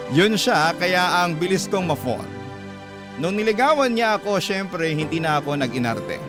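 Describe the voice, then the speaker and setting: High-voiced man narrating over music. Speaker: radio story host and letter reader. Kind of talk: dramatic scripted story narration. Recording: music clearly behind the voice. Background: instrumental music.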